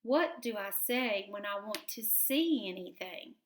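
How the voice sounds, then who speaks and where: A woman's voice: mid-range voice, expressive, measured pace, echoey room, a little hiss or hum, lightly acted. A woman voicing a hearty, teasing blind male mole.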